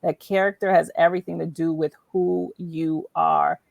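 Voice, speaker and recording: Female interview host, lower register, gravelly fry, affirming delivery, close dry sound. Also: fairly even intonation, moderate pace, a little mellow, dry recording. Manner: affirming, repeats phrases for emphasis. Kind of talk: conversational faith-based interview.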